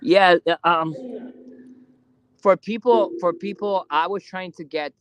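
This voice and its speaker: A man hosting a live ghost hunt in a cemetery at night, speaking haltingly with many fillers as he calls out to spirits. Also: sits high, a bit bright, smooth, no echo, poor audio. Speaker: ghost hunter streaming live. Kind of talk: live paranormal investigation stream.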